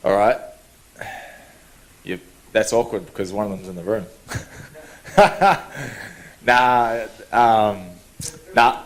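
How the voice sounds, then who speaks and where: A young man, answering reporters' questions in an easygoing, relaxed way at a media day. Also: fairly low pitch, slow pace, pauses often, low-quality recording. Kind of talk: press scrum answers.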